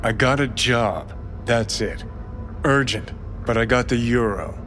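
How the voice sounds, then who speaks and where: Cyberpunk game rocker: brash, impatient, sardonic, medium pitch, prominent music underneath. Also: male voice, lively intonation, measured pace, a bit bright. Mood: urgent, curt. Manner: sardonic, swaggering, curt. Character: brash, cynical, impatient male rocker rebel in a cyberpunk city. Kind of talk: video game drama acting. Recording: game audio with music behind.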